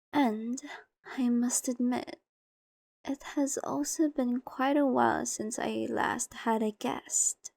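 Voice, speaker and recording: A woman's voice: fairly high pitch, vocal fry, warm tone, dry recording, heavily acted. A woman playing a seductive, possessive, ancient vampire mistress in an ASMR roleplay, coaxing and condescending, sometimes slipping into whispers. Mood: charmed, teasing. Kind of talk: ASMR roleplay acting, addressed to the listener.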